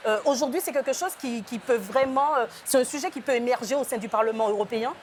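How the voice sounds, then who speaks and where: Woman interviewer, quick questions, lots of d'accord, highish voice, faint hiss. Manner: brisk, with short acknowledgments.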